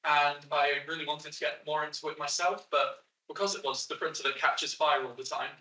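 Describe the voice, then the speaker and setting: An eighteen-year-old man, loud and chatty, telling his story with rambling asides. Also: a little high, fairly even intonation, brisk. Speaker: young maker and guest. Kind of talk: casual spoken story. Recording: roomy sound from a distant mic.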